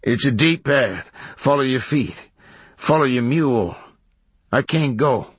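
A man voicing a half-mad old backwoods hermit, raised pitch. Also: lively intonation, measured pace, husky, dry recording. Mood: insistent. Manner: clipped rustic speech, repeats himself emphatically. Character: half-mad, ragged old male hermit, agitated and insistent. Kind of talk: audiobook character voice.

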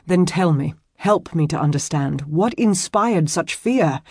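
Casting: woman as commanding yet gentle medieval nobleman for historical audiobook, low register, formal old-fashioned diction, husky tone. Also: lively intonation, a little slow, dry recording, studio quality, full character voice. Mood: concerned, baffled. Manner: formal archaic phrasing, earnest, emphatic. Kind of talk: historical romance audiobook character acting.

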